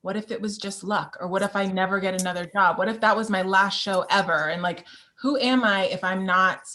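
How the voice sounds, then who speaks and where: A woman's voice: medium pitch, fairly even intonation, brisk, pushed and bright. A woman, speaking as a podcast guest in a candid, reflective conversation and laughing easily. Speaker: podcast guest, Broadway performer. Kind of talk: casual conversation.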